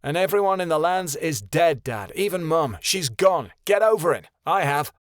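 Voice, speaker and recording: A man's voice: a little high, lively intonation, moderate pace, no echo, studio quality, pitched above the performer's own voice, lightly acted. A man voicing a furious grieving teenage son. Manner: shouting, bitter.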